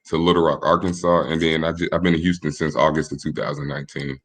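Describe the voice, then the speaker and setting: A young man in his late twenties with a very deep, low voice answers questions in a relaxed, laid-back way. He pauses and restarts his sentences often. He grew up in Michigan. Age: 20s. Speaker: podcast guest. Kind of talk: casual interview chat.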